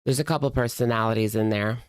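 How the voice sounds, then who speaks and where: A woman streamer reacts casually with snark and plenty of slang. Her voice is clean and smooth, set in a dry, close sound, and she drags out words to make a point. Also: very deep voice, no room sound. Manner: snarky, slangy, drawn-out emphasis. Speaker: reaction streamer commenting on a video.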